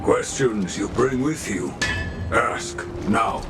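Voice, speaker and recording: A wise old craftsman in a game, patient and cryptic. His low voice moves very slowly and haltingly, with a swaying intonation and back-to-front phrasing, over loud music. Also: lively intonation. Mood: calm, knowing. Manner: inverted word order, halting, deliberate. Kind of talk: dramatic video game voice acting.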